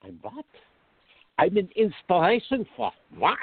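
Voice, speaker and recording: A man playing an exasperated, excitable, easily offended friend in a radio drama, complaining with indignant, drawn-out emphasis. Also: high-pitched, breathy edge, full character voice. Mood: incredulous. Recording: thin, phone-like band-limited audio.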